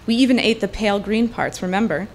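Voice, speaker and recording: A woman reading literary fiction aloud at a live event, in deliberate, fluent narration with pauses. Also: mid-range voice. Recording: live event with background noise.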